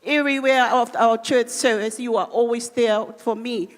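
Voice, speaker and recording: A woman's voice: fairly high pitch, fairly even intonation, moderate pace. A woman gives an earnest church testimony. Her English is simple, since her is Māori. Her voice rings with the long reverb of a church hall. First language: Cook Islands Māori. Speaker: church member giving testimony.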